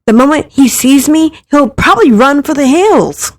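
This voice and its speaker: A woman's voice: high-pitched, lively intonation, moderate pace, voice pushed up. A woman voicing an insecure, defensive young woman student with a plaintive, emotional, exasperated manner in a visual novel. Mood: insecure, hurt.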